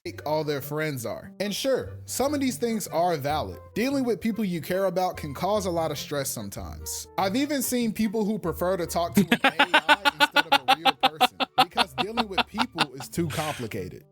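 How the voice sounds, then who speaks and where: A young man narrates a scripted video essay in a somewhat high voice. He speaks to the listener in a conversational way. Music plays behind him. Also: big pitch swings, normal speed, few pauses, a bit bright.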